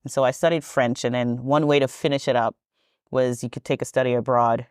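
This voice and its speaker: A woman's voice: extremely low pitch, steady tone, brisk, darkish tone, clean tone, no room sound, clean studio sound. A woman, a podcast guest telling her story in a chatty, rambling way with many ums and self-corrections. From Trinidad and Tobago. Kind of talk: casual storytelling interview.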